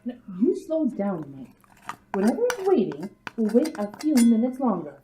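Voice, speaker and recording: A woman playing an amused, indulgent mother who gently chides her child in a radio drama. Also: on the high side, wildly swooping pitch, moderate pace, background noise. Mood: gently chiding. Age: adult. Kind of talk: old-time radio drama acting. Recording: muffled, roomy radio drama recording.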